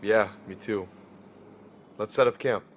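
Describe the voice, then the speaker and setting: Actor doing low easygoing guy in a heist audio drama, casual and supportive, noisy background. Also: steady tone, unhurried, natural voice. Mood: weary. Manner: casual, reassuring. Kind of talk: naturalistic audio drama acting.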